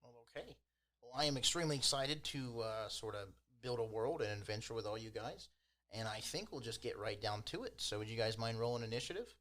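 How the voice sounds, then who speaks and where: A man's voice: mid-range voice, lively intonation, fairly quick, a bit bright. A man narrating and hosting a tabletop fantasy game as game master. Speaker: game master and podcast host.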